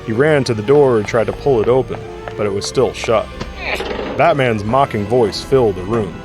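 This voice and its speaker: A man's voice: medium pitch, moderate pace. A man reading scripted dramatic narration for an audio drama. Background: music.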